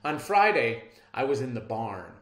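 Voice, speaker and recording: Slow, animated man reading a story. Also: medium pitch, background noise. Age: middle-aged. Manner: dramatic, deliberate delivery. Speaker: read-aloud narrator. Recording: roomy echo.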